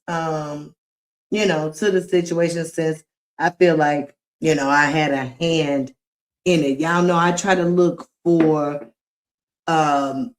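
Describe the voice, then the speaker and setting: A woman livestreaming, giving casual commentary and talking straight to her viewers. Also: on the low side. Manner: slow, drawn-out talk, addressing viewers directly.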